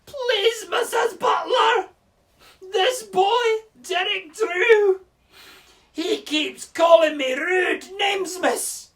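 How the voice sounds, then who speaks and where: A performer voicing a whiny, pleading Scottish schoolchild who complains in a plaintive, drawn-out way. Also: very high voice, fairly bright tone, shouting, recorded from a distance, pitched above the performer's own voice, full character voice. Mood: wailing, exasperated.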